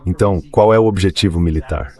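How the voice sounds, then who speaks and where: A man's voice: sits low, fast, darkish tone. A man with a Brazilian accent in a translated voice-over of political analysis, speaking as a commentator. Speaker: guest commentator.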